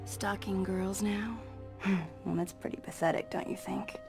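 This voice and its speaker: A woman's voice: mid-range voice, steady tone, unhurried, over music, close to an everyday voice. An actress playing a sly, cool, flirtatious woman in a video game, mocking and playful. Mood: teasing. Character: sly teasing adult female, cool and flirtatious.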